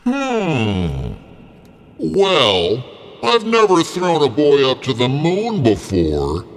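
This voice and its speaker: Huge talking tree, very deep, extremely slow, swooping intonation, kindly, storybook fantasy, faint noise. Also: male voice, huge pitch swings, heavily acted. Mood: pondering. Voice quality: very low. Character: slow, low-voiced, gentle giant talking tree.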